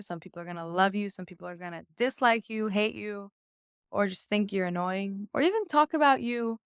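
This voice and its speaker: Smooth-voiced young woman, chatty and candid. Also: mid-range voice, dry recording, studio quality. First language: Persian. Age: young adult. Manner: chatty, candid, with frequent fillers and restarts. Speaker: interview guest, violinist. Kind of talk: casual podcast conversation.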